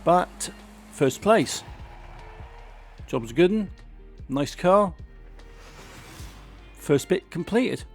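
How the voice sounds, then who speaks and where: A man's voice: sits high, lively intonation, drawn-out words, long pauses, faint music behind. A man with a sore throat, chatting casually as he plays and comments on a racing game. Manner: chatty, grumbling about the game. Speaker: gaming channel host.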